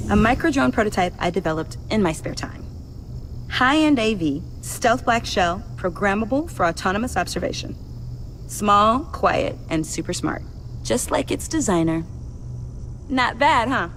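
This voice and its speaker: A woman's voice: mid-range voice, lively intonation, moderate pace, a bit bright, a little hiss or hum. An actress playing a cocky, teasing young female tech whiz in a video game, playful and self-assured. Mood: playful, proud. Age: young adult.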